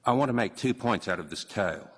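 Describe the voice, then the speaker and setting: A man, giving a measured, earnest formal speech as a newly appointed judge, with occasional slips and restarts. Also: fairly low pitch, lively intonation, breathy edge. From Hong Kong. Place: large courtroom. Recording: long reverb of a large courtroom.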